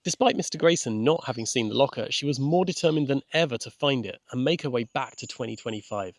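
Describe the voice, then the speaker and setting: A man reading a scripted children's audiobook as narrator, with measured, gentle storytelling. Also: mid-range voice, no echo, clean studio sound. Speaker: author and narrator.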